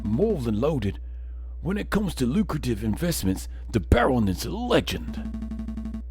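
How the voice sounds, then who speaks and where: A man voicing an earnest, principled bird lawyer in a video game, speaking in a measured, slightly formal way. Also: medium pitch, very animated, darkish tone, faint music behind. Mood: knowing.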